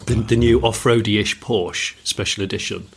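Hoarse guy rambling on a podcast, husky voice. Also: fairly low pitch, fairly even intonation, normal speed. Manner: rambling, giggly. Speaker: podcast host. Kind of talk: casual chat.

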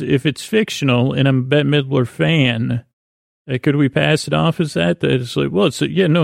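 A man hosting a podcast with a halting, meandering bedtime monologue in a creaky voice, often trailing off mid-thought. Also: medium pitch, no room sound, studio quality. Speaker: podcast host. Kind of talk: rambling comedic bedtime monologue.

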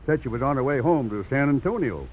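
An actor playing a wry, folksy older country doctor for horses in a 1950s radio drama. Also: male voice, medium pitch, lively intonation, fast, lightly acted. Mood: chatty, folksy. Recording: dull old radio broadcast, faint hiss.

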